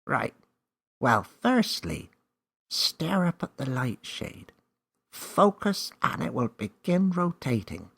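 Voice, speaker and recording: A man doing a raised-pitch, coaxing little magical companion. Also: sing-song, vocal fry, fairly bright tone, breathy edge, heavily acted. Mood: impatient, instructing. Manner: brisk, coaxing, reassuring. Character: small, encouraging, slightly impatient magical male companion.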